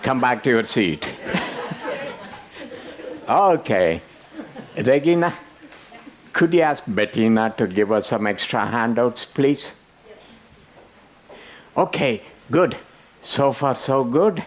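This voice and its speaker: An older man runs a conference workshop at an unhurried, deliberate pace. His delivery is loose and playful, and he keeps breaking off to tease people in the room. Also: medium pitch, animated. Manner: joking, teasing the audience. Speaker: workshop presenter. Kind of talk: interactive conference workshop talk.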